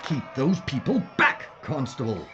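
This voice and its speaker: A man's voice: medium pitch, huge pitch swings, bright, forceful tone, faint music behind, exaggerated voice. A man playing a polite but dogged Scotland Yard inspector in an audio drama, speaking formally. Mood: brisk, commanding. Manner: formal, polite, deliberate wording. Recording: dull sound with faint background noise.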